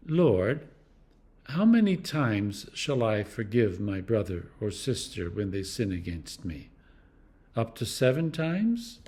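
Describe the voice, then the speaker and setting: A clergyman reading scripture aloud in a deliberate, expressive way, with dramatic emphasis and pauses. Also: fairly low pitch. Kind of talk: scripture reading, devotional narration.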